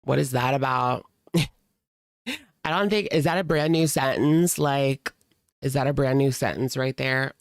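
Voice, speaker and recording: A woman's voice: rumbling low, measured pace, long pauses, no echo, clean studio sound. A woman giving casual, snarky reaction commentary in slangy speech, stretching words for emphasis. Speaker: reaction streamer commenting on a video.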